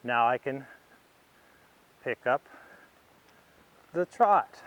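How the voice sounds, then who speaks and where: A man giving instructional commentary as a riding instructor, thinking aloud in short, unhurried phrases as he demonstrates. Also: mid-range voice, expressive, highs cut off.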